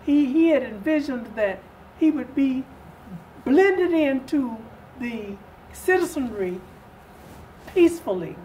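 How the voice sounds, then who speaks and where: An older woman speaking as an interview guest in a measured, deliberate way with pauses. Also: high voice, animated, warm tone. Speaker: guest from a readers theatre group. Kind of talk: interview conversation. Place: indoor sitting room set. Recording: distant mic with faint room noise.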